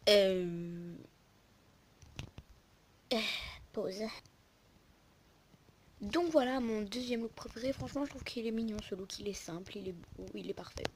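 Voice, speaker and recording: Young female video host, informal rambling commentary, mid-range voice, noisy low-quality recording. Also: animated, unhurried, long pauses, darkish tone. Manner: rambling, informal, self-interrupting. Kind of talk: casual game lookbook commentary. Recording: poor sound, clear noise and clicks.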